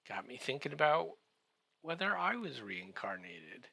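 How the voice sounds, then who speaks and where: A man giving a comedic podcast recap in a halting, drawn-out way, full of wry joking asides. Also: medium pitch, sing-song, creaky, sharp tone, breathy edge, no room sound.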